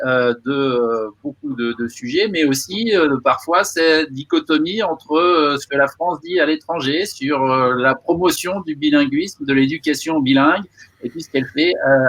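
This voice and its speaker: A man opens an event and introduces two speakers. He speaks in fits and starts and keeps filling the gaps with hesitation sounds. His pitch sits in an ordinary middle range. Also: fairly bright tone. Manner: halting, many fillers. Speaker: event host.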